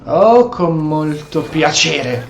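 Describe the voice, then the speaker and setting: An Italian-accented man commentates casually on a role-playing game, with very lively pitch swings. He is halting and repeats words, recorded in an echoey room with faint noise. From Italy. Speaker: gameplay streamer.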